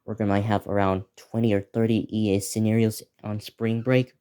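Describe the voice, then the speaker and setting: Teenage male, slightly low voice, dark soft tone, casual vlog preview, halting, repetitive delivery. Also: level tone, smooth. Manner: halting, repetitive, listing place names. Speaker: video creator previewing upcoming videos.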